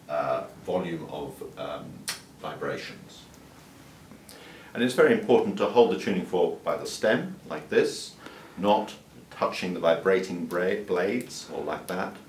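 An older man, a doctor, calmly explaining and demonstrating a hearing exam in a clinic room, with small restarts. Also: sits low. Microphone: far camera mic. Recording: roomy, distant sound with faint room hiss.

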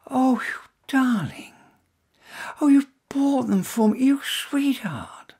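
A man voicing a cheerful woman in her thirties who speaks in a bright, teasing way. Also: extremely high pitch, expressive, slow, breathy edge, pitched above the performer's own voice, exaggerated voice.

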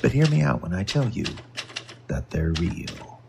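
An actor playing a world-weary retired newspaper reporter, narrating an audio drama in an earnest, wry first person. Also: male voice, fairly low pitch, sing-song, a little slow, creaky, background noise, full character voice. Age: older.

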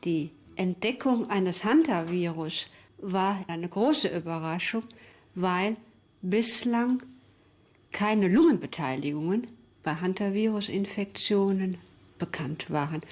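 Female scientist in interview, lower register, careful factual delivery with halting phrasing. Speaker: expert interviewee, virologist. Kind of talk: explanatory interview statement.

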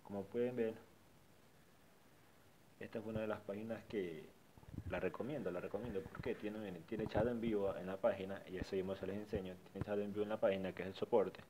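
Man, deep voice, mellow tone, casual tutorial narration, repeats himself, faint hiss. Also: fairly even intonation, a little fast, poor audio. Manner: repetitive, restarts phrases while pointing things out. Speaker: tutorial vlogger. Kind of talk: casual screen-recorded walkthrough.

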